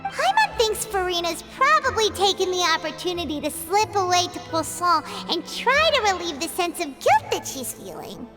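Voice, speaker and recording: A woman voicing a small cheerful know-it-all fairy companion with a squeaky, childlike voice, in an English game dub. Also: very animated, moderate pace, over loud music. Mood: earnest. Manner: childlike, squeaky, speaks of herself in third person.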